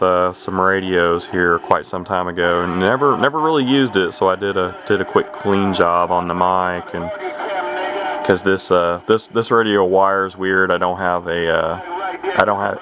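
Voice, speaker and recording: Male hobbyist, very low register, matter-of-fact and rambling demo talk over clearly audible noise. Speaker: radio hobbyist demonstrating a repaired CB radio. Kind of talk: casual unscripted demonstration talk.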